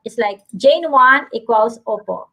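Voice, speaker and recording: A woman's voice: a little high, expressive, unhurried, gravelly, bright, forceful tone. A Filipina woman with a Filipino accent hosting a casual online talk show, chatty and gushing as she greets viewers. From Philippines.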